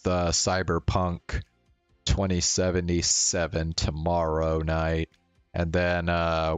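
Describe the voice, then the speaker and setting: A man with a low voice streams a visual novel. He takes his time, both when narrating and when chatting casually. The sound is close and dry. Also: clear, resonant tone, dry recording. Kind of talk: narrated read-aloud and casual sign-off.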